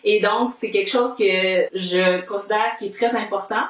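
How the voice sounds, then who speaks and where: A woman's voice: medium pitch, steady tone, normal speed, fairly bright tone. Chatty Québécois woman in a roomy echo. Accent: Québécois French. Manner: chatty and upbeat, lists her reasons one by one. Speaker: book vlogger. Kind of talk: casual chat to camera.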